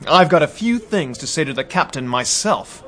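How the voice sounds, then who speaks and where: An actor playing an impulsive, excitable young American man who stammers when pressed. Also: a little high, normal speed. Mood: eager. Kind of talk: radio drama acting.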